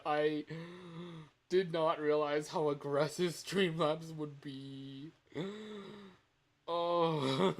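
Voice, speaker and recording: A man chatting casually on a livestream in an excitable, expressive manner. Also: fairly high pitch. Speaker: livestreamer and hobby voice actor.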